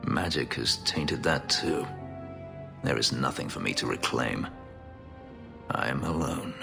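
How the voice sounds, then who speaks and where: An actor playing a brooding, embittered elf warrior who once was a slave, speaking in a grave, measured, intense way. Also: male voice, a bass voice, animated, vocal fry, over music, exaggerated voice. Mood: bitter, desolate.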